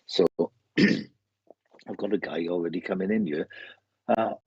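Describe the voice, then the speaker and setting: A man's voice: deep voice, slow pace, vocal fry, husky. A man with a Welsh accent chatting casually as a medium hosting a live online show, rambling and often repeating his phrases. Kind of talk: casual live chat, greeting viewers. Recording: muffled roomy online stream audio.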